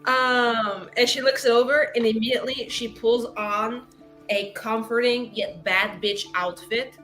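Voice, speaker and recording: A woman's voice: a little high, fairly even intonation, measured pace, fairly bright tone, soft background music. A woman improvising her character's actions in a tabletop roleplaying game, playful, dramatic and slangy. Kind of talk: animated improvised story narration.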